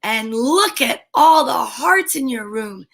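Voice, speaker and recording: A woman's voice: a little high, lively intonation, slow, fairly bright tone, full character voice. A woman voicing an eager, easily pleased little boy in a storybook, with an enthusiastic manner. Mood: delighted.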